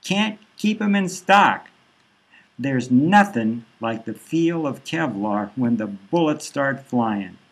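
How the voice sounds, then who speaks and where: A man's voice: expressive, slow. A man voicing a pushy middle-aged male arms dealer who talks up his wares in a hard sell. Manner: hard-selling, upbeat pitch.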